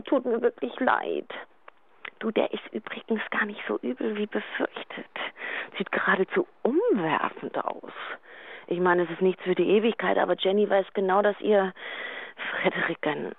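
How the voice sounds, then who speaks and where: A woman's voice: mid-range voice, creaky, dry recording. An actress playing a chatty, gossipy woman friend leaving an answering machine message in a German radio drama. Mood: apologetic, gushing. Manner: gushing, theatrical, hesitant asides. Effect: answering machine phone message. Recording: thin phone line.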